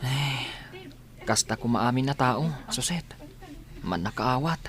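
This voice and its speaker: A performer playing a soft-hearted, grateful young male student in a radio drama, speaking politely and earnestly. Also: medium pitch, expressive, slow, over music. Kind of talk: Filipino radio drama acting.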